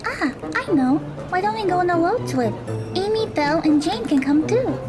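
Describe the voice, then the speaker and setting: Woman as a high, animated teenage schoolgirl. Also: normal speed, music in the background. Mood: eager. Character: cheerful teenage Japanese schoolgirl, easygoing and curious. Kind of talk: audio drama acting.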